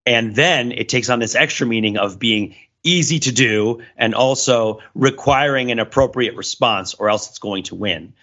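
A man's voice: medium pitch, moderate pace, hardly any pauses, pushed and bright. A man co-hosting a podcast, talking analytically and conversationally, explaining at length and building his points through examples. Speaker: podcast co-host. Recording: dull, lo-fi voice recording.

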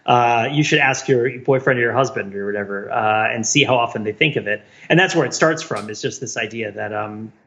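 A man's voice: medium pitch, fairly quick, few pauses, fairly bright tone. A man co-hosting a podcast, explaining things in a casual, nerdy, digressive intellectual chat. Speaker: podcast co-host. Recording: slightly dull, band-limited audio.

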